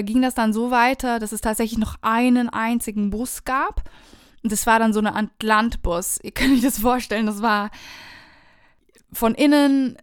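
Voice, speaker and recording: Woman with a very smooth, clear voice. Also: fairly high pitch, dry recording, studio quality. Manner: animated, conversational recounting. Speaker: vlogger telling a travel story. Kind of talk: casual personal storytelling to viewers.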